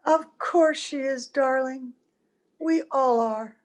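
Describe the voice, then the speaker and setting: An actress playing a gentle, wistful, mysterious wife in a radio play, speaking with tender care. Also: female voice, high-pitched, slow pace. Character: gentle, wistful ageless wife, mysterious visitor, secretly old. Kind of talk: old-time radio drama acting. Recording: home video call with music.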